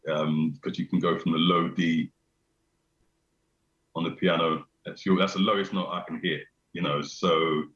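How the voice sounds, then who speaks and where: A middle-aged man with a deep bass voice, chatting casually as a guest on a video call, repeating himself and laughing often. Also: flat delivery, unhurried, a bit bright. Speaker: venue owner, guest. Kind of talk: casual chat. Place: small room indoors. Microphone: video call webcam. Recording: roomy, dull video-call audio.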